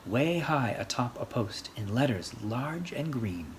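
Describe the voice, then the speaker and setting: Highly animated children's storyteller. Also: unhurried. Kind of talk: expressive read-aloud of a rhyming picture book. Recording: faint background noise.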